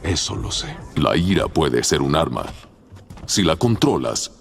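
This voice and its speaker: A man's voice: rumbling low, sing-song, a little slow, creaky, full character voice. A Mexican-accented man playing a stern, stoic tough guy in a dub. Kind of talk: dubbing acting.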